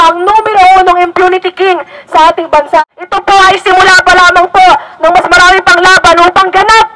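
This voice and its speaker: A woman's voice: very high voice, poor audio. A woman from the Philippines giving an earnest, formal speech at an outdoor protest rally, polite and declamatory. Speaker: student activist speaker.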